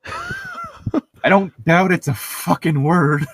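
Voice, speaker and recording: A man's voice: sits high, huge pitch swings, slow pace, breathy edge, no echo. A man co-hosting a book read-aloud, casually reading and bantering, often swearing and interrupting himself.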